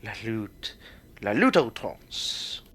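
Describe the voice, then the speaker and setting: An actor in a student radio play, playing a pompous, declamatory republican democrat who fancies himself a philosopher. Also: male voice, fairly low pitch, sing-song, slow pace, fairly bright tone, exaggerated voice. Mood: grandiose. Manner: declamatory, theatrical. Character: pompous grandiloquent republican democrat man, self-styled philosopher.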